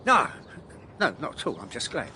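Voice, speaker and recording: A man's voice: mid-range voice, huge pitch swings, moderate pace, pushed and bright, slight background noise, heavily acted. An actor playing a smug, wistful older man in a naturalistic radio drama. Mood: eager, guarded.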